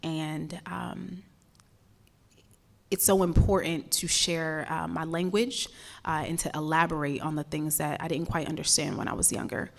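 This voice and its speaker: A woman's voice: sits low, steady tone, measured pace, vocal fry. A young woman from Ghana, a spoken word poet, talking to a live event crowd and then performing a poem with a rhythmic, impassioned delivery and repeated words. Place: stage at a live campus event.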